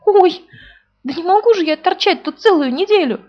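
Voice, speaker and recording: A woman's voice: very high voice, animated, moderate pace, pitched above the performer's own voice. A woman voicing a greedy, bumbling little male bear who stammers and whines. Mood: whiny. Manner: stammering, whiny, hesitant. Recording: dull lo-fi recording.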